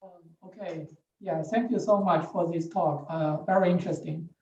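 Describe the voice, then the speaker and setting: A woman's voice: fairly low pitch, fairly even intonation, moderate pace, a little mellow. Distant, echoey woman asking a question. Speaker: audience questioner. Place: online virtual seminar. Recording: roomy, distant, muffled, noisy call audio.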